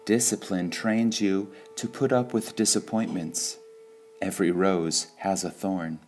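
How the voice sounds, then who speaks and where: A man reading devotional sayings from a script in a measured, deliberate way, pausing between short phrases. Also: low voice, fairly even intonation, darkish tone, faint music behind. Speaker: reader of spiritual quotations.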